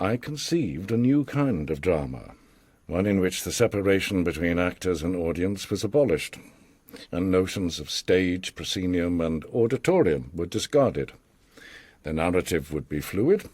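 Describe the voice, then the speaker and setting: An actor playing an older, worldly and enigmatic mastermind in a radio drama, speaking in a theatrical, measured, formal and philosophical way with a husky voice. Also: male voice, sits low, darkish tone, dry recording. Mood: cryptic, lofty. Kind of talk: radio drama acting, storytelling monologue.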